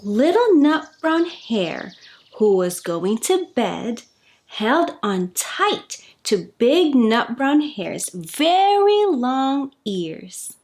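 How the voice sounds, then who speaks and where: A woman's voice: sits high, big pitch swings, drawn-out words, darkish tone. A woman hosting a storytime for children, reading aloud expressively with sing-song emphasis.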